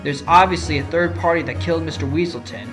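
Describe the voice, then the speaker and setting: A confident defense lawyer in an audio drama, played by a man, with a fairly high male voice. He is assured and articulate, with clear music underneath. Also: fast, close to an everyday voice. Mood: confident, argumentative. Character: confident, quick-witted male defense lawyer with teasing streak. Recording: music behind the voice. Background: background music.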